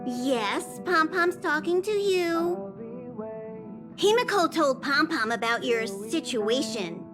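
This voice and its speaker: A woman's voice: high voice, lively intonation, slow pace. A woman voicing a small, bossy creature conductor in a video game, scolding and speaking of itself in the third person. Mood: bossy, stern. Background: background music.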